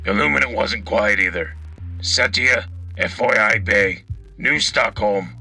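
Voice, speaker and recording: An actor as a gruff male soldier reporting in a radio war drama. The voice is low and raspy, full of gravel, with a hard, very sharp edge. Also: measured pace, exaggerated voice. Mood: grim, determined. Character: gruff battle-hardened soldier reporting from the front line. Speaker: co-host, field reporter.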